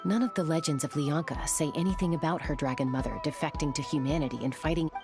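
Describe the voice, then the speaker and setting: Actress doing a lower-voiced young woman, confident and defiant, loud game music under her. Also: fast, natural voice. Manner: confident, defiant and warm-hearted. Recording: game soundtrack music behind.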